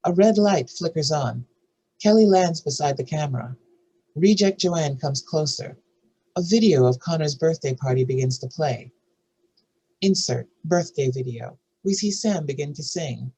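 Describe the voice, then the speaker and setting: A woman with a husky voice narrating screenplay stage directions in a scripted reading. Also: sits low, lively intonation, measured pace, warm tone, poor audio.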